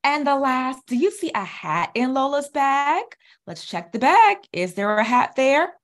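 An American woman in her thirties speaking in an expressive, encouraging teacher manner, as if reading aloud to young children. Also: sits high, measured pace. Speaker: speech pathologist and children's author. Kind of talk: children's read-aloud with questions. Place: small indoor office, on a video call.

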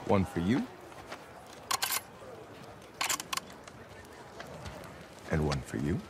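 An actor playing a brisk young patrol leader who gives clipped orders in a video game drama. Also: male voice, sits low, sing-song, background noise. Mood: easygoing. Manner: commanding, clipped instructions.

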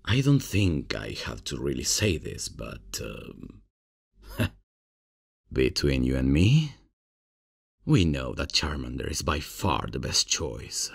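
Very deep, laid-back bumbling professor played by a man. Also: very animated, slow pace, heavily acted. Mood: sheepish, amused. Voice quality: deep, gravelly, husky. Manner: casual, hesitant, chuckling, unprofessional. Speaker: roleplay audio performer. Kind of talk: comedic ASMR roleplay, spoken to the listener.

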